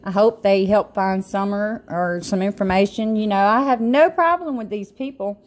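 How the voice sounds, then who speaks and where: A woman's voice: mid-range voice, moderate pace, darkish tone. A woman with a Southern US accent giving casual, emphatic and incredulous opinion commentary to her viewers. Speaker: YouTube commentator.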